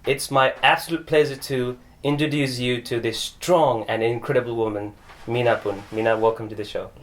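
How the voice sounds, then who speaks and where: A man's voice: medium pitch, steady tone, normal speed. A man hosting a podcast, reading a formal introduction and then chatting with his guest, with Nepali phrases mixed in. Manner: friendly, mixes in Nepali phrases. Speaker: podcast host. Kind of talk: scripted intro then casual interview.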